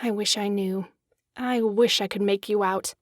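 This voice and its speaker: An actress playing an elegant young socialite in a dramatized audiobook, refined, expressive and coquettish, discontented yet charming. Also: female voice, mid-range voice, measured pace, no room sound, studio quality, natural voice. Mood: frank, coaxing.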